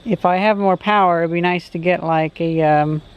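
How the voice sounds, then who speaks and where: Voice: medium pitch, steady tone, moderate pace, smooth. A vlogger gives casual, unscripted commentary outdoors while testing gear, musing and thinking aloud. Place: outdoors along a road. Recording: dull audio, faint background noise.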